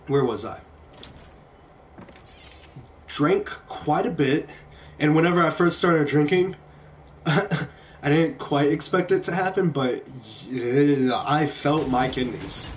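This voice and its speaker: A man's voice: fairly high pitch, a little hiss or hum. A young man from Kentucky with an American accent, telling rambling casual stories while he streams a video game. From small town in Kentucky, USA. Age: young adult. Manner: rambling, conversational. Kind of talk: casual storytelling over gameplay. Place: indoor room at home. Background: background music.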